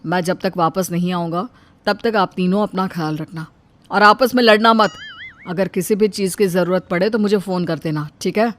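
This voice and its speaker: A woman's voice: on the low side, moderate pace, natural voice. A woman voicing a mild, polite young husband in a cartoon story. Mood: caring. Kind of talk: Hindi cartoon story voice acting.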